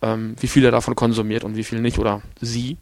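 A man hosting a podcast on his own, hoarse after an illness, rambling along with many fillers and restarts. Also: mid-range voice, little pitch movement, a little fast, no echo. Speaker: podcast host. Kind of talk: casual solo podcast talk.

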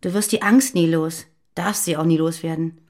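A woman playing a fearful, guarded former militant on the run in a naturalistic radio drama, confiding and halting. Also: low voice, fairly even intonation, darkish tone, natural voice. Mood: weary, confessing.

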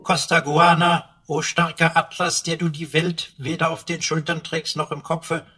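A man's voice: on the high side, little pitch movement, normal speed, sharp tone, faint music behind. A man playing a cheeky, pedantic talking computer sidekick in a radio play, with flowery, mock-pompous speech. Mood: cheeky, mock-grand.

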